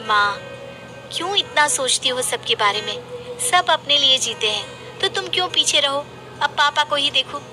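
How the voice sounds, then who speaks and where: A woman's voice: high-pitched, lively intonation, measured pace, music in the background, voice pushed up. A woman voicing a pushy, scheming, sweet-talking young daughter in a moral story cartoon, wheedling and insistent. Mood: pushy, coaxing. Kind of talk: animated moral story dialogue.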